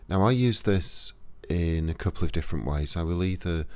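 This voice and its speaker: A man with a Yorkshire accent, giving a casual, unhurried spoken lesson to camera with pauses and fillers. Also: low voice, clear, resonant tone. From Yorkshire, England. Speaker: esoteric practitioner and channel host. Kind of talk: casual instructional talk to camera.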